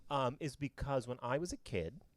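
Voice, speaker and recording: A man's voice: mid-range voice, lively intonation, moderate pace, crowd noise. A middle-aged man co-hosting a casual film podcast, chatty and easily sidetracked, sniffling from allergies. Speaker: podcast co-host. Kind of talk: casual chat about films. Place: friend's apartment.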